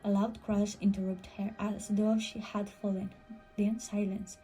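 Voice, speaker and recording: A woman's voice: mid-range voice, flat delivery, a little slow, dark tone, soft background music, rough recording. A woman reading a scripted first-person horror story as a storyteller.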